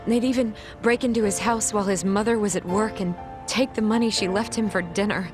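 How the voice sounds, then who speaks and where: A guilt-ridden young female teacher voiced by a woman in a dramatic game scene. She speaks reluctantly and earnestly in a medium-pitched, measured voice, with a clear musical score behind her. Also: fairly even intonation, music in the background, lightly acted. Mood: somber, confessional. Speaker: English teacher. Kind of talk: dramatic game cutscene acting.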